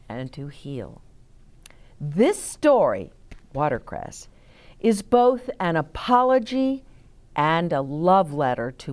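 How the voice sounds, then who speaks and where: A woman reading picture books aloud to children as a storytime host, in a deliberate, explaining way. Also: low-pitched. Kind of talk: expressive read-aloud for children with asides to listeners.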